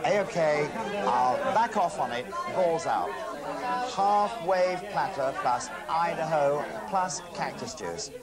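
A man's voice: fairly high pitch, expressive, slow pace, a loud crowd. A middle-aged man, a television reporter, talking in radio slang into a handset. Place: busy restaurant. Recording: loud crowded restaurant din.

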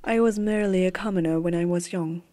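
A woman playing a stern, haunted warrior empress in a hushed, deliberate fantasy ASMR roleplay. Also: lowish voice, natural voice. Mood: grave, bitter. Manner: slow, hushed, deliberate dramatic delivery.

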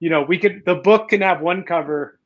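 A man in his forties hosts a live online interview from a room at home, his voice slightly high. He rambles through long questions, restarting and dropping in "you know", and the webcam audio sounds dull and lo-fi. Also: normal speed. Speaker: talk show host. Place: home room in Manila, indoors. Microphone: video call webcam.

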